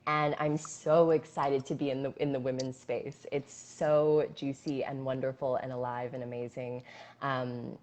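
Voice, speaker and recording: Low-voiced woman coach, chatty and effusive. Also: flat delivery, a little slow, darkish tone, slight background noise. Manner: flowing, enthusiastic, many fillers and restarts. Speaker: intimacy coach guest. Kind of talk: casual live-stream conversation. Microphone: phone on a stand.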